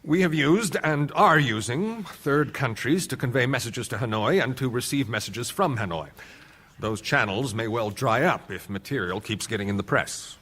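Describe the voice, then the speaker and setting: A guarded government witness. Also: male voice, medium pitch, lively intonation, moderate pace, a bit bright. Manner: guarded and evasive. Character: guarded male government official.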